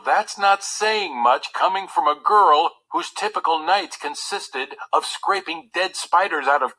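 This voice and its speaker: A man's voice: on the high side, pushed and bright, pitched above the performer's own voice, heavily acted, low-quality recording. A man voicing a young pampered prince in a storybook, sorrowful and self-doubting, with a plaintive, sulky delivery.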